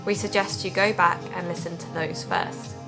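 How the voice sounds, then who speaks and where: An actress playing an earnest woman amateur sleuth who hosts an investigative podcast, narrating calmly. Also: on the low side, normal speed, music in the background, close to an everyday voice. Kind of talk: audio drama narration and dialogue.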